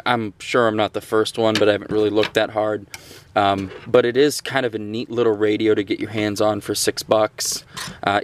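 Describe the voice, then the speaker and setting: A man with a fairly low voice gives a casual product review. He speaks conversationally and off the cuff, pausing and restarting as he goes. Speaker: gadget reviewer, host of a radio channel.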